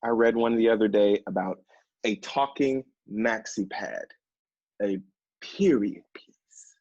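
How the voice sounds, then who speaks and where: A man playing a polite, dry-witted literary assistant in a stage play, measured, articulate and deadpan. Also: lowish voice, dry recording, dull audio. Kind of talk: one-act stage play dialogue.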